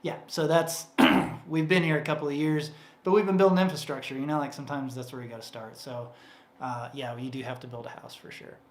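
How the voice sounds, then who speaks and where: A man's voice: mid-range voice, steady tone, brisk, slight background noise. Chatty man in an echoey room. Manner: rambling and chatty, many fillers and restarts. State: voice getting tired. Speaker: co-host of a livestream Q&A. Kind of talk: casual livestream Q&A. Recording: roomy echo.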